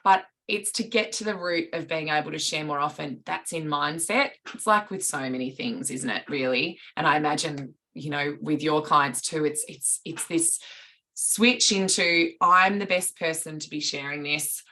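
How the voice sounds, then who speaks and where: Woman speaking coach, low voice with a bright edge, thoughtful podcast guest. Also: moderate pace. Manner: articulate, reflective, restarts mid-sentence. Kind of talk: podcast interview answers.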